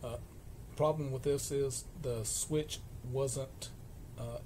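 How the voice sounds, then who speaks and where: A man giving an informal, halting, explanatory narration of a hands-on repair, as a repair vlogger at a workbench. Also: medium pitch, fairly even intonation, dark tone. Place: indoor electronics workbench. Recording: clearly noisy workshop camera recording.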